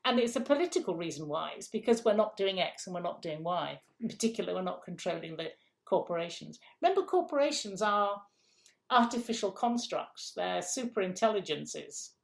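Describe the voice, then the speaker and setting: A woman's voice: lowish voice, lively intonation, fairly quick, vocal fry. An older woman with grandchildren, a guest in an interview, answering in a forthright, emphatic way and often repeating herself as she thinks aloud.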